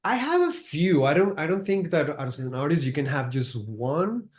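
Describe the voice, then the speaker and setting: A man's voice: on the high side, very animated, normal speed. A man from Venezuela, a makeup artist guest chatting loosely with many fillers and restarts. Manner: rambling, lots of fillers, restarts and slips into Spanish. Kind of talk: casual interview chat.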